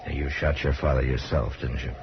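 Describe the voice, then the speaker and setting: An actor playing a calm, grave frontier lawman who questions in terse, measured phrases, in 1950s radio western style. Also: very deep voice. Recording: muffled, noisy vintage radio recording.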